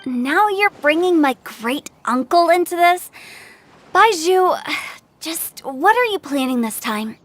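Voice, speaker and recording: A woman's voice: very high voice, animated, slow, a bit bright, exaggerated voice. A woman voicing a playful young funeral director in a game, with a teasing, theatrical, mischievous manner. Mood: sly. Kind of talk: video game voice acting.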